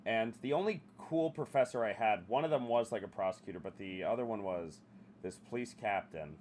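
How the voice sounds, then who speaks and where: Low-voiced young man ranting bluntly. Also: fairly quick, a little mellow, slight background noise. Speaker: podcast host. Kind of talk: casual podcast chat.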